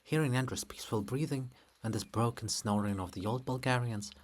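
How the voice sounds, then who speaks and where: A man narrating a dramatic play reading, delivering scripted stage directions in a measured way. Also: medium pitch, slight background noise. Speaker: reader and narrator.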